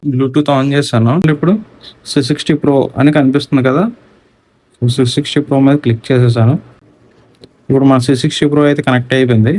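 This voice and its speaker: Man, Telugu accent, medium pitch, casual unboxing narration, English tech terms mixed in. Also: darkish tone, rough recording. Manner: mixes English technical words into talk. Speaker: motorcycle gear reviewer. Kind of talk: casual unboxing and installation walkthrough.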